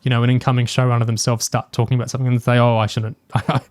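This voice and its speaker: A man's voice: mid-range voice, little pitch movement, racing, darkish tone. A man co-hosting a podcast in casual discussion, tentative and musing as he thinks aloud. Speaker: podcast co-host.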